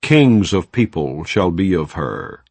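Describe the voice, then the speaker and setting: Deep-voiced man reading scripture. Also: sing-song, gravelly, a little mellow, no echo. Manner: measured, solemn reading. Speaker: Bible narrator.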